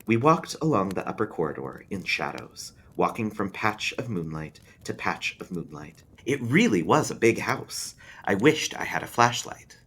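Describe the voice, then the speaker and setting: A man reading an eerie story aloud as a librarian, in an expressive narrating style. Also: on the low side, moderate pace, few pauses, slightly rough.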